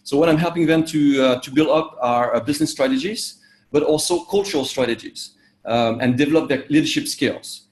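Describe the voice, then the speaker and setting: Halting man with many fillers, echoey room. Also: on the high side. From Vietnam. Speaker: executive coach. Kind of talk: interview answers.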